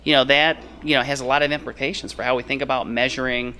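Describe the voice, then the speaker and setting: A man's voice: medium pitch, fairly even intonation, quick pace, bright, forceful tone, slight background noise. A man speaking as an expert interview guest at a conference, explaining findings in his answers. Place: on location at a conference.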